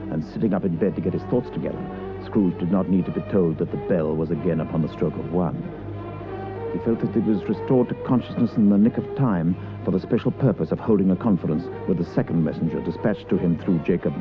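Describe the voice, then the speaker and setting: A man giving dramatic literary narration in an old radio broadcast, with a tinny sound and music behind. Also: deep voice.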